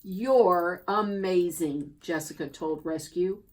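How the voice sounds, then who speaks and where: A woman reading a children's picture book aloud as a storyteller, expressively and deliberately, drawing out key words. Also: fairly low pitch, a little mellow. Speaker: storybook reader.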